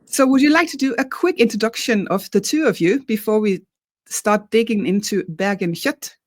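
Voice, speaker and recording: A woman's voice: mid-range voice, expressive, normal speed, dry recording. A woman hosting a webinar over a video call, easygoing and chatty with frequent fillers. Her voice is dry and close, with no room sound around it, and sits in a middle range. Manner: friendly, loose and chatty with fillers. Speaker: webinar host.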